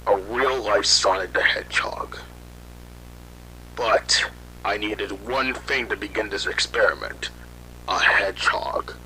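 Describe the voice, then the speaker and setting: A man playing a guilt-ridden scientist in hiding, telling his story haltingly in an amateur horror audio drama. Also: mid-range voice, bright, forceful tone, a little hiss or hum, exaggerated voice, poor audio. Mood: brooding, regretful. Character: guilt-ridden fugitive scientist father recounting his failed experiment.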